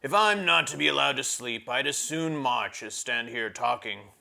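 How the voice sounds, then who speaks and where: A man reading aloud and voicing a gruff, impatient male dwarf soldier with blunt grumbling. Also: mid-range voice, animated, moderate pace, pushed and bright, pitched above the performer's own voice, heavily acted.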